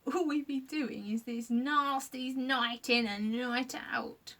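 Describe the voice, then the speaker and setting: A woman voicing Gruff, an eager male creature who likes bad things, speaking in broken grammar with a whispery edge. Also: high voice, fairly even intonation, takes it slowly, fairly bright tone, distant mic.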